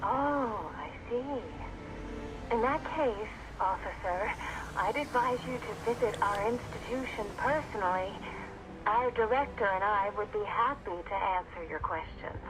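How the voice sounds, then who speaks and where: A woman's voice: mid-range voice, animated, measured pace, background noise, natural voice. A woman playing a courteous, eerily serene asylum receptionist in a noir game, formal and soothing, on the telephone. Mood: serene, inviting. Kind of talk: film noir game voice acting.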